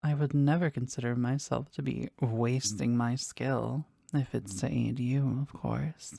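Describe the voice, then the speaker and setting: A powerful demon, played by a woman speaks slowly and intimately in a gravelly low voice. The phrasing is formal and reverent, possessively devoted to a master, as in a scripted audio drama recorded close and dry. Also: fairly even intonation, warm tone, no room sound, full character voice. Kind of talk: scripted roleplay audio drama, addressed to the listener.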